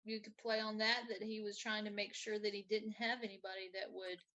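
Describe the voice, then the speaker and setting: Female podcast host, bright and forward tone, conversational delivery. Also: medium pitch, level tone, fairly quick. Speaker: teacher. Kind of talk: casual conversation.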